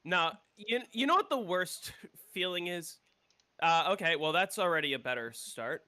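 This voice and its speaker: Streamer, male, casual and opinionated, swears freely, higher voice, sounds a bit congested. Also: expressive, moderate pace, sharp tone. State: congested, coming down with a cold. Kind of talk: casual gameplay chat.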